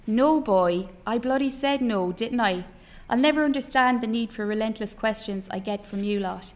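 Woman reading as a hearty, gruff Australian male farm boss. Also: sits high, moderate pace, lightly acted. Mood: exasperated. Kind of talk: novel reading aloud.

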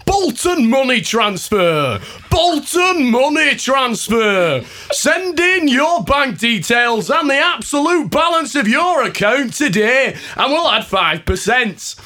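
A man playing a dodgy money-transfer announcer in a spoof radio advert, shouting slogans. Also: very high voice, big pitch swings, slow, few pauses, full character voice. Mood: hyped.

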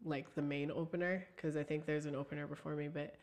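Young woman, low voice, animated interview guest, heavy filler use. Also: fast. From Ohio. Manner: animated, many fillers like "like" and "um", laughs easily. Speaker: singer and guest. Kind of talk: casual interview chat.

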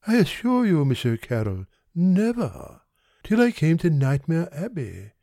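Man voicing a languid, mellow foppish gentleman. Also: fairly high pitch, wildly swooping pitch, a little slow, no room sound, studio quality, full character voice. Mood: languid, gallant. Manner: languid, affected, courteous. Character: languid, foppish, indolent young gentleman, politely bored. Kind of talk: dramatised classic novel reading.

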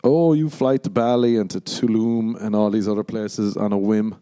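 Irish man rambling with a mellow voice. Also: fairly even intonation, moderate pace, smooth. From Ireland. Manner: rambling, swears a lot, jumps to high squeaky voices for jokes. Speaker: podcast host. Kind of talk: casual comedic monologue.

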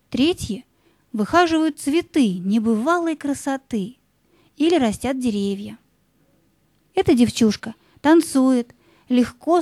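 A woman narrating a scripted fairy tale in measured, lyrical short phrases. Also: a little high, mellow, dry recording.

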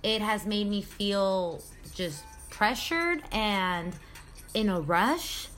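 A woman's voice: medium pitch, animated, drawn-out words, fairly bright tone, soft background music. A woman in her thirties talking candidly in a casual personal vlog, chatty and loose with slang and the odd swear word. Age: 30s. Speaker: vlogger.

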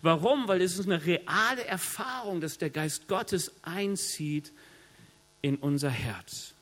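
A middle-aged man with a cold, preaching a sermon in a lively, conversational way with joking asides. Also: high voice, slow. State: has a cold. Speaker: pastor. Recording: long hall reverb.

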